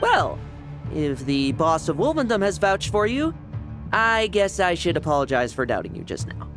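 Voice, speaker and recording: Game role: confident, guarded young warrior, swooping delivery, blunt. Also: female voice, sits low. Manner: blunt, cocky. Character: brash, wary young fighter, blunt and confident. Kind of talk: video game voice acting. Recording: music behind the voice.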